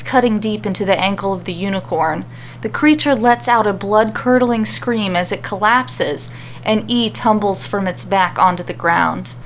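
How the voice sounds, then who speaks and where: A woman's voice: mid-range voice, slight background noise, poor audio. A woman reading a scripted fantasy adventure story as its narrator, telling it dramatically.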